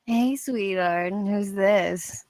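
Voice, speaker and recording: Woman acting unhurried, tipsy, doting mom in her fifties. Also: medium pitch, darkish tone, dry recording. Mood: welcoming. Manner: affectionate, pushy, familiar. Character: tipsy, doting fifty-year-old mother, Gloria. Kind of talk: live screenplay table read.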